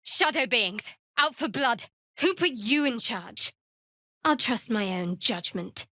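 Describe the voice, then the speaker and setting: Young dark cleric lady for a fantasy game, kinda high voice, guarded n dryly sarcastic, no room sound at all. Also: expressive, no echo, heavily acted. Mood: urgent, forceful, then dry, wry. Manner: dry, guarded, measured delivery. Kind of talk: fantasy video game voice acting.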